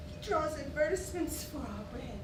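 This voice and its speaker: Woman acting a hungry, fervent poor wife, high pitch, blunt and intense, stage play, echoey noisy recording. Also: animated, normal speed, far from the mic. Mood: bitter, blunt.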